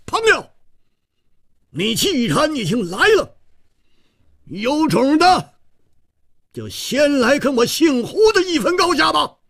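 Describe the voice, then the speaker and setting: A man's voice: extremely high pitch, very animated, slow, creaky, pauses often, voice pushed up, heavily acted. A man voicing a hot-blooded, stubborn martial-arts hero who bellows stern challenges in a wuxia audiobook. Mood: defiant challenge. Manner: stern, defiant shouting. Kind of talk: Chinese wuxia audiobook storytelling.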